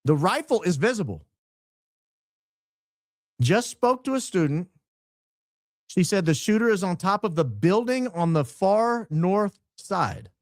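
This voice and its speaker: A man hosting a casual commentary on audio clips, questioning, halting and often repeating himself. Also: high voice, big pitch swings, no room sound, clean studio sound. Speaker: host.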